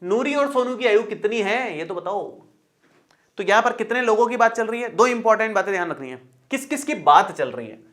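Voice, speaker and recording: A man's voice: high voice, animated, brisk, a bit bright. A male math teacher explaining a lesson step by step in a chatty, reassuring way, often repeating points and checking in with the viewer.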